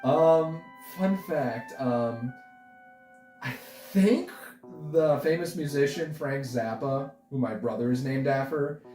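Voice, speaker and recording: A man in his twenties chatting casually as an interview guest, giving halting, rambling answers. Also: mid-range voice, warm tone, recorded from a distance. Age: 20s. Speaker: interview guest, stop-motion creator. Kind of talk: casual chat. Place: indoor room, sharing the frame with host. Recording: roomy, off-mic, music underneath. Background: guitar music.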